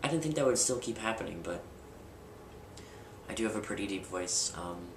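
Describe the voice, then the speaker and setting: Young man, deep voice, casual candid vlog, far from the mic, noisy background. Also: animated, moderate pace. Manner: candid, rambling with fillers and restarts. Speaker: vlogger. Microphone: camera mic at a distance. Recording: roomy, distant, with loud background noise.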